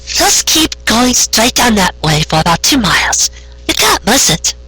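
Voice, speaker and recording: A man's voice: extremely high pitch, sing-song, measured pace, bright, forceful tone, slightly rough, no echo, pitched above the performer's own voice, heavily acted. A man voicing a kindly, flustered female farm pony in a story, politely hesitant. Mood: resigned, helpful.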